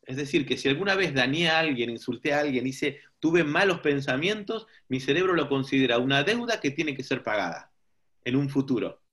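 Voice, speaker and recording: A man's voice: mid-range voice, animated, brisk, fairly bright tone. A man giving an informal teaching talk to a group, reflective and often checking in with rhetorical questions. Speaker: seminar teacher.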